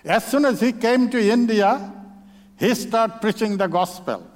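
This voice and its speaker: A man from Bangladesh with a Bangladeshi accent, a native Bengali speaker, preaching as a visiting speaker in church, in short halting phrases. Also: high voice. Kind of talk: church sermon testimony. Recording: long reverb of a church hall.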